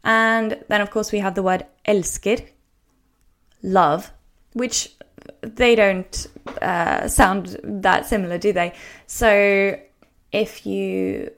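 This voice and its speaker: A woman whose first language is Norwegian, hosting a podcast language lesson and explaining step by step in a gentle, encouraging way. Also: mid-range voice, fairly even intonation. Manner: slows down to spell out example words. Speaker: language coach and podcast host.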